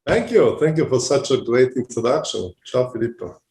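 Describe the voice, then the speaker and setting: A man's voice: mid-range voice, animated, moderate pace, a little mellow. A man with an Italian accent answering interview questions conversationally and reflectively, searching for his words as he goes. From Italy. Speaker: podcast guest, hospitality company CEO. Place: remote call, echoey room. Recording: echoey remote call.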